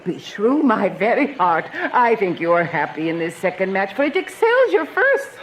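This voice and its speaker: An actress playing a gossipy, practical older nurse in a Shakespearean radio drama, chatty and emphatic. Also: female voice, mid-range voice, very animated, moderate pace, muffled recording, a little hiss or hum, lightly acted. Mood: coaxing, gushing.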